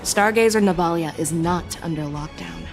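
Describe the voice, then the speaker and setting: A woman playing a proud, composed female general in a video game, speaking with measured authority. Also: lowish voice, music in the background, close to an everyday voice. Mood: composed, confident. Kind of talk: dramatic video game voice acting.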